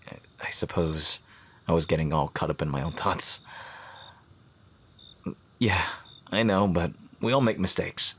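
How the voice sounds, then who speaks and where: Guy acting as courtly romantic rival, deep husky voice, earnest and tender, asmr roleplay style w soft pauses. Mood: hesitant. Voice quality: husky, breathy. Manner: earnest, formal, poetic phrasing with soft hesitant pauses. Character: devoted male fantasy rival confessing love, tender and courtly.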